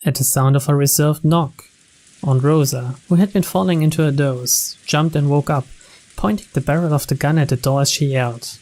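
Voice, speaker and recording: A man's voice: fairly high pitch, moderate pace, a little mellow, a little hiss or hum. A man reading a mystery story aloud as narrator, in a scripted narration style.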